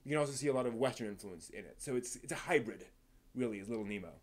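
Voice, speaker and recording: An American man hosts a casual live stream in a mid-pitched voice. He talks in a halting, rambling way, thinking aloud as he responds to viewers. The delivery is conversational and unscripted. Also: expressive. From East Coast of the United States. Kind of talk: casual livestream chat, answering viewer questions.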